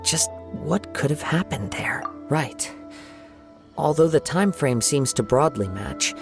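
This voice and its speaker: A man playing a calm, thoughtful young wandering swordsman in a video game, speaking in a measured, formal and pensive way. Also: a little high, vocal fry, exaggerated voice. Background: game music.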